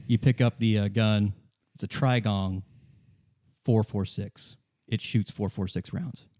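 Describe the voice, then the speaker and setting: A man with a low, dark-toned voice narrates as game master. He improvises in the second person, informal and halting, often rephrasing himself. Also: steady tone. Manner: halting, self-correcting, informal.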